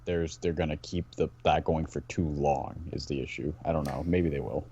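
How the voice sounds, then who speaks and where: A man with a very deep voice talks casually about his opinions. He often rephrases mid-sentence in a halting way. The sound is dull and lo-fi. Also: steady tone, warm tone, slightly rough. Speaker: podcast guest. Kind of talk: casual fan discussion.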